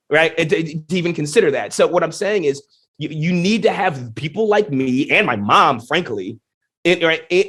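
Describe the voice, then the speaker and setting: A man, a genetics professor, speaking in a podcast interview with emphatic, animated explanations full of rhetorical questions. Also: on the high side. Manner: energetic, emphatic, many restarts and rhetorical questions.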